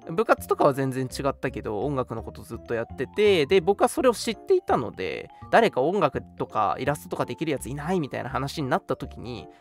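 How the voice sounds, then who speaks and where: A man's voice: medium pitch, sing-song, fairly quick, few pauses, faint music behind. A man in his twenties co-hosting a radio show, reminiscing in casual conversation. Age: 20s. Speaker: radio show co-host and drama studio member.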